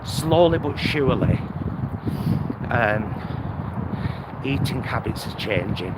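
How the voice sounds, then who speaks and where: Slow-talking British man, windy outdoor vlog. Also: medium pitch, lively intonation. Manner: reflective, hesitant, self-deprecating. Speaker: vlogger. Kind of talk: casual walk-and-talk vlog. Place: outdoors, walking along streets. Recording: windy outdoor recording, loud traffic noise. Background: wind on mic, vehicles, urban ambience.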